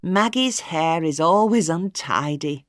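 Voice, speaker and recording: A woman's voice: sits low, expressive, takes it slowly, no echo, studio quality. A woman reading a storybook voices a worried mother who scolds anxiously. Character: fretful mother of two, worried.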